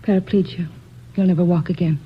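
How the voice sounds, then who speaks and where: An actress playing a brisk, businesslike woman staff worker in 1950s radio drama acting on an old recording. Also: fairly low pitch, fairly even intonation, lo-fi sound, over loud music, close to an everyday voice. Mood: grave.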